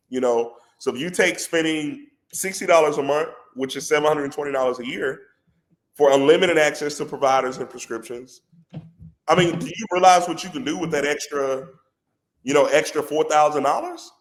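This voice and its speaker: Congested man pitching, calling everyone bro. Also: normal speed. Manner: animated and persuasive, says "bro" a lot. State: congested head cold. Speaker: healthcare company president, podcast guest. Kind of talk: casual podcast conversation.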